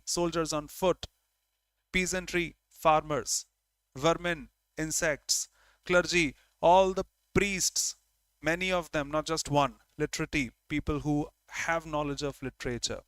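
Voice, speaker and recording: A man with an Indian English accent teaches an online grammar class. He works through each point step by step and repeats key words for his students. His voice sits a bit higher than most men's and sounds dry and close. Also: a bit bright, dry recording. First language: Hindi.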